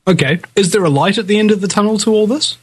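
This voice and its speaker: Husky New Zealand man hosting talk radio. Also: high-pitched, fast talker. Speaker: radio talk show host. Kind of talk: casual interview talk.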